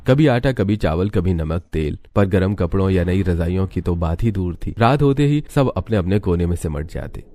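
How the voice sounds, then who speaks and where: A man's voice: sits low, lively intonation, moderate pace, few pauses, dark tone, dry recording. A man reading scripted narration as the narrator of a cartoon story.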